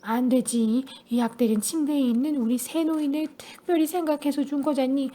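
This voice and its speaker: A woman's voice: high-pitched, steady tone, a little fast, warm tone, lightly acted. A woman voicing a grumpy old grandmother who complains with exasperation in an audiobook story. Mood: exasperated.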